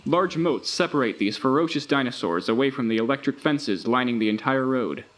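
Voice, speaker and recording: A man playing a recorded tour guide in an audio drama, with measured, presentational narration. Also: medium pitch, expressive, fairly bright tone, lightly acted. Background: music.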